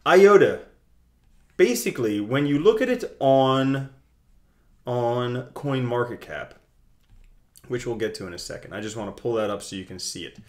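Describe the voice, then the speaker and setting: Man, young adult, video host, casual screen-share chat, jokey, lively loudness swings. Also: mid-range voice.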